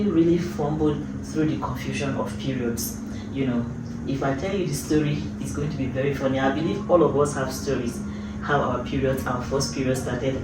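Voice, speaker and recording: Fifties lady vlogging, earnest and emphatic, mic far off, loud music behind her. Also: extremely low pitch. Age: early 50s. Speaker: YouTube host. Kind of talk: personal vlog talk to camera. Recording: echoey room, distant mic, loud music.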